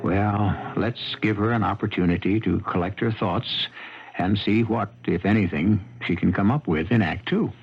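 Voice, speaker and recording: A man hosting a 1970s radio mystery with scripted, theatrical narration, gravelly, wry, musing and deliberate. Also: lowish voice. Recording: dull lo-fi 1970s radio broadcast. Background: music.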